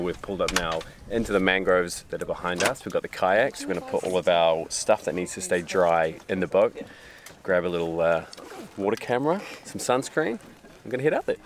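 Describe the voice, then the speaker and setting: A man's voice: low voice, a little fast, noisy background. A man in his thirties chatting casually as a travel vlogger, talking to a handheld camera. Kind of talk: casual vlog chat. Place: outdoors, on boat and nature trail. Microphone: handheld camera at arm's length.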